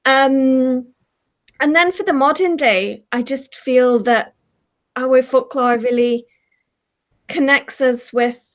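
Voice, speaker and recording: A woman's voice: high-pitched, takes it slowly, pauses often. A woman who co-hosts a folklore podcast, chatting in an enthusiastic, reflective way with frequent ums. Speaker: folklore podcast co-host, guest. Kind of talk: casual chat.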